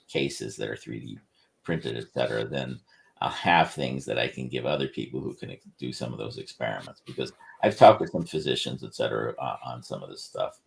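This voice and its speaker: Very deep gravelly-voiced man, rambling. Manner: rambling, halting with many restarts. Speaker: inventor presenting research. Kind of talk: casual livestream discussion.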